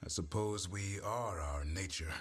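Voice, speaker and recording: A man playing a gruff, stoic but caring warrior and creature keeper in a video game cutscene, terse and dryly humorous. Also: sits low, measured pace. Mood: reflective, uneasy. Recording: music behind.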